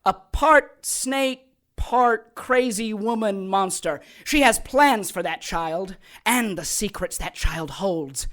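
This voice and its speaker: A woman's voice: mid-range voice, expressive, takes it slowly, a bit bright. A woman playing a scheming, megalomaniac male sorcerer villain in an audio drama, theatrical and taunting. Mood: gloating, scheming.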